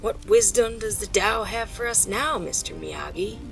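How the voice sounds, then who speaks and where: A woman's voice: medium pitch, moderate pace, vocal fry, over loud music, close to an everyday voice, rough recording. A woman playing a tough gunslinging sidekick in an audio drama, with sardonic, clipped banter. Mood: wry. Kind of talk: urban fantasy audio drama acting.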